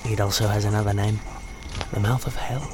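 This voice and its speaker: A man's voice: lowish voice, steady tone, a little fast, noisy background, close to an everyday voice. A man playing a brooding, cryptic and menacing guide in an audio drama. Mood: ominous, cryptic. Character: Serenity, brooding, ominous male expedition guide.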